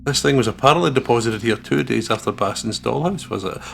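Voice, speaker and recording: A gravelly, creaky-voiced man reads a visual novel aloud as a let's player. He is playful and casual, and the recording carries a faint noise. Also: mid-range voice, fast talker, a bit bright. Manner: playful, sweary.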